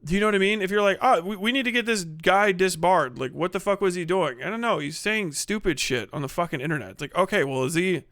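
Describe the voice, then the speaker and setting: A man's voice: high voice, fast talker, few pauses, a bit bright, no room sound. A man hosting a livestream, ranting casually with sarcasm and profanity, laughing at his own jokes into a close mic. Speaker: livestream host.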